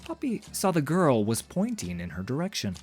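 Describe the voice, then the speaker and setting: A man's voice: medium pitch, huge pitch swings, normal speed, darkish tone, faint music behind. A man narrating a fantasy story in a dramatic, scripted audiobook style.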